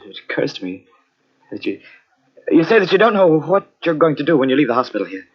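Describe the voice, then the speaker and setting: Half mad brooding pianist, old muffled radio drama, voice swings all over, rough edge. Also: male voice, fairly high pitch, big pitch swings, fast talker. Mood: slyly intent. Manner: intense, brooding, theatrical. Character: obsessed, half-mad concert pianist man who lost his left hand. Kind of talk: vintage radio mystery acting with first-person narration.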